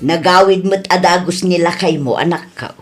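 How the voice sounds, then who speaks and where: A woman's voice: lowish voice, normal speed, loud music behind the voice, natural voice. A woman playing a chatty, inquisitive village woman who gossips about families, in a radio drama. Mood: questioning. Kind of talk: Ilocano radio drama acting.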